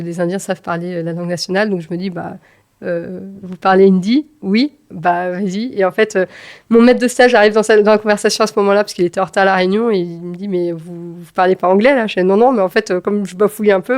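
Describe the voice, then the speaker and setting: A woman in her thirties tells personal stories conversationally as an interview guest, with halting phrasing and repeated words. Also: medium pitch, fairly even intonation, a little mellow. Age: 30s. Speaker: podcast guest, engineer and manager.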